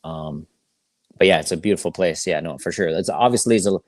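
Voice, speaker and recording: A man's voice: low-pitched, fairly quick, a little mellow, no room sound. A man chatting casually as a musician guest in an interview, with laid-back, slangy phrasing. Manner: laid-back slangy talk, frequent "you know".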